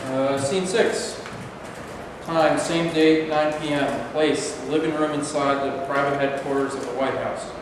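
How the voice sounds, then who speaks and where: A man's voice: a little high, fairly even intonation, a little slow. A man narrating stage directions matter-of-factly at a staged play reading. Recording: echoey hall, distant mic, noisy.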